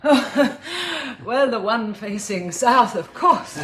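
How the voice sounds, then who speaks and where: An actress playing a genteel British woman surviving an apocalypse in a radio drama, expressive and a little hesitant. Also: fairly high pitch, slow. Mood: playful, delighted. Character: genteel, anxious but playful female apocalypse survivor.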